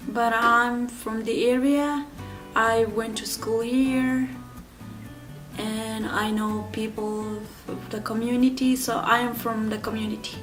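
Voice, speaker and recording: A woman in her thirties from Ecuador telling her own story in an interview, speaking haltingly with many fillers and restarts. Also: on the high side, far from the mic. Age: 30s. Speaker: interview guest.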